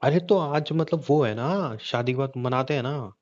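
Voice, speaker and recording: A man's voice: mid-range voice, normal speed, darkish tone, natural voice. A man playing a hot-tempered, suspicious young husband who badgers bluntly with repeated questions in a conversational audio drama. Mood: confused, badgering. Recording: dull, lo-fi call-recording sound.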